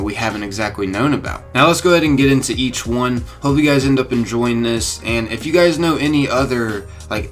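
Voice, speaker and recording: A man's voice: lowish voice, fairly bright tone. A young man hosting a gaming video, talking to viewers in a casual, chatty, informal way. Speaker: gaming YouTuber, host. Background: light music.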